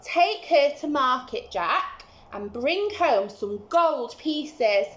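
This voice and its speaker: A woman voicing a poor, sharp-tempered widowed mother from a storybook, emphatic and commanding. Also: high-pitched, expressive, slow pace, pitched above the performer's own voice. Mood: firm, urgent. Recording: roomy, slightly muffled home recording.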